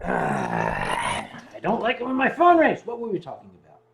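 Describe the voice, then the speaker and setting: A man hosting a casual livestream, joking and swearing freely, with bursts of rapid talk. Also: sits high, wildly swooping pitch, gravelly, a little hiss or hum. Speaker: livestream host. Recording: roomy room with loud guitar music. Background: guitar music.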